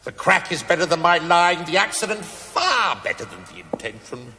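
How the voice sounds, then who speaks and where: Wildly swooping drunken British stonemason. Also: male voice, high-pitched, sing-song, normal speed, sharp tone, heavily acted. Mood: maudlin, mocking. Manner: declamatory, wildly swooping, grandiloquent. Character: drunken, irreverent, rapturous stonemason. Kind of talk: theatrical television play acting. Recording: echoey hall, long reverb.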